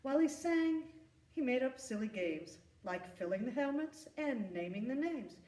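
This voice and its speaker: A woman reading a rhyming children's story aloud in an expressive, emphatic storytelling manner. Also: sits high, moderate pace. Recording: echoey room, distant from the mic.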